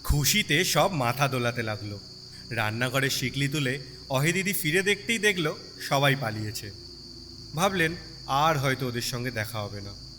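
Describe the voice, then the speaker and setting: A man narrating a story in an expressive, dramatic storytelling style with sympathy in his delivery. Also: mid-range voice. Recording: long reverb, background night insect noise.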